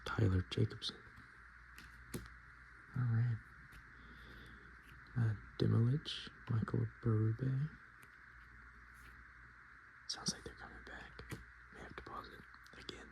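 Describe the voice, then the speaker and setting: A man softly speaking and whispering in a casual, murmuring ASMR style, as host showing items to the viewer. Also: mid-range voice, little pitch movement, slow, creaky, pauses often, rough recording. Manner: hushed, casual murmured asides. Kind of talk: ASMR, softspoken and whispered card show-and-tell.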